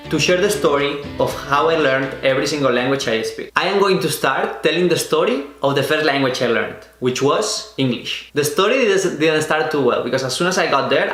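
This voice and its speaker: Young spanish guy w/ an accent, telling his story, echoey room, soft music under it. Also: fairly high pitch. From Spain. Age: 20s. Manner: earnest, unhurried storytelling with small restarts. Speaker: vlogger. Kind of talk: personal storytelling.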